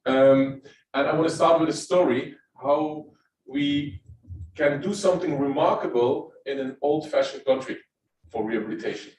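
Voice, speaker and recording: A man's voice: a little high, normal speed, darkish tone, a little hiss or hum. A middle-aged man with a Dutch accent, giving a conference talk standing up, in a casual, conversational way with filler words. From Netherlands. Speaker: guest speaker. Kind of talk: conference presentation. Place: lecture room. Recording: roomy hall, from far off.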